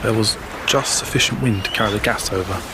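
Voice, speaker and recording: Man acting as grim working-class British WWI soldier, low voice, colloquial, audio drama monologue, loud battlefield din. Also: fairly quick, natural voice. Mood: steady, grim. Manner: colloquial, unschooled grammar. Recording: loud battlefield noise over the voice. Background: loud outdoor war ambience.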